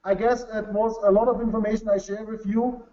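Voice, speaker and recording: A German-accented man with a fairly high voice presents to a webinar audience, earnest and halting as he restarts his non-native English. The audio is dull and lo-fi. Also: level tone, dark tone. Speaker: company executive presenting to a webinar.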